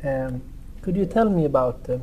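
A man's voice: mid-range voice, lively intonation, moderate pace, a little mellow, a little hiss or hum, poor audio. A middle-aged Swedish man with a Swedish accent, interviewing a guest in a friendly, hesitant way. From Sweden. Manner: friendly, hesitant, many fillers and restarts. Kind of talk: casual interview. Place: indoor lounge, seated at a table.

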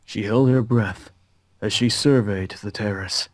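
A man's voice: lowish voice, a little slow, no echo. A man reading visual novel narration aloud as the narrator of a fan dub.

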